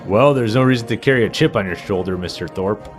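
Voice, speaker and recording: A man's voice: on the low side, quick pace, faint music behind, close to an everyday voice. A man playing an affable, persuasive property seller in a radio play, reasoning and coaxing.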